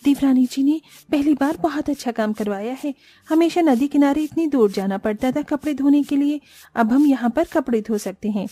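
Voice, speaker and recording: A woman's voice: high-pitched, normal speed, warm tone, no room sound, lightly acted. A woman voicing a humble but proud poor village sister-in-law, plaintive and earnest, in a cartoon story. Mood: relieved.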